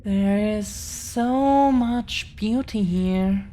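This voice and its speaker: A woman playing an affectionate, earnest girlfriend of dragon descent in an intimate roleplay audio, speaking gently with lingering pauses. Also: medium pitch, a little hiss or hum. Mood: tender, reassuring. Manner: gentle, hesitant, lingering pauses between phrases.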